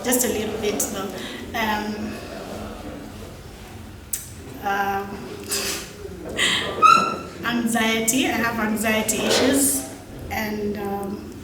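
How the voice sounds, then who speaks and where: A woman of twenty-one giving candid interview answers as a student, hesitant and halting. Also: medium pitch, steady tone. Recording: roomy, distant mic, clear background noise.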